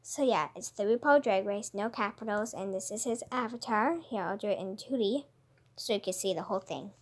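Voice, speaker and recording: A woman's voice: medium pitch, fairly quick, breathy edge. A woman hosting a casual gaming video, chatting as she plays. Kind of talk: casual gameplay chat. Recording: noisy home recording with clicks.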